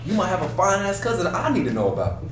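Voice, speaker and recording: Actor as cocky young best friend, higher-pitched, animated, slangy and foul-mouthed, echoey recording. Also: male voice, fast. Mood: prodding. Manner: slangy, pushy, joking delivery. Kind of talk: screenplay table read. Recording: echoey room, distant mic, clear noise.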